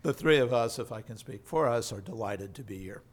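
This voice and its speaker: A man of middle pitch, a law professor and guest on a podcast. He explains in measured, well-formed sentences. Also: animated. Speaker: law professor and center director. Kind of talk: podcast interview.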